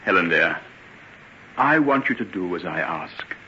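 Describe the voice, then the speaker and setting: An actor playing a concerned, courteous gentleman doctor in an old-time radio drama, earnest and steadying. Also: low voice, animated, measured pace, heavily acted. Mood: urgent concern. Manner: earnest, polite, soothing but urgent. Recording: dull, hissy old radio recording.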